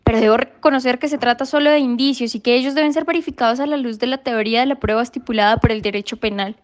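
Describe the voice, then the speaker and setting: A woman's voice: fairly high pitch, quick pace, natural voice. A woman playing a solemn, precocious youngest daughter who speaks formally and pedantically in a literary radio drama. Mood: earnest, pedantic.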